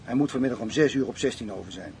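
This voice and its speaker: A man's voice: medium pitch, very fast, gravelly, a little mellow, natural voice. A man acting a self-assured, cynical Dutch crime boss in a radio play, offhand and slangy. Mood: businesslike. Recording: faint noise, radio play recording.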